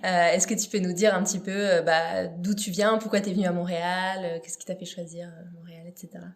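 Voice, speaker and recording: A woman hosting a podcast, speaking calmly and hesitantly with many fillers as she introduces and questions her guest. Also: on the low side, a little fast. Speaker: podcast host. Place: Montreal. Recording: faint music behind.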